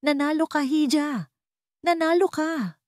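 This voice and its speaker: Lady acting out an older auntie in an audio storybook, high voice, emotional, exclaiming fondly. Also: a little slow, no echo, clean studio sound. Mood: jubilant. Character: excited older woman, affectionate elder of the heroine.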